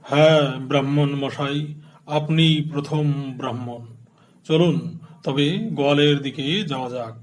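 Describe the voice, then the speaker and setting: An actor playing a generous, pious king in a cartoon, speaking courteously in stately, deliberate phrases. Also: a little high, steady tone, natural voice. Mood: gracious. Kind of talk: cartoon dialogue.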